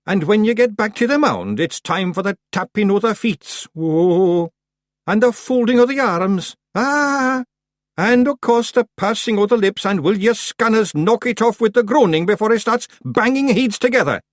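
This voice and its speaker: A man's voice: sits high, animated, normal speed, no room sound, clean studio sound, pitched above the performer's own voice. A man voicing a brash, blustering small male fairy clan chief with a Scottish accent, in an audiobook. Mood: lecturing. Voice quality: boastful, blustering.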